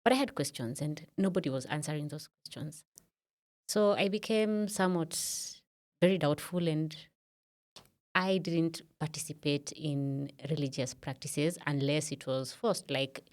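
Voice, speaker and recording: A woman's voice: deep voice, unhurried, darkish tone. A Kenyan woman around thirty, a podcast guest in a studio, telling her story in a reflective way with many fillers. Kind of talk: casual interview talk.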